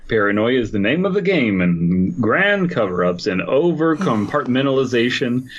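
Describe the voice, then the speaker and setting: Animated man bantering, slightly dull sound. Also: medium pitch, normal speed, a little hiss or hum. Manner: jokey, swearing, riffing. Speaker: podcast co-host. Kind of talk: casual comedic banter and commentary.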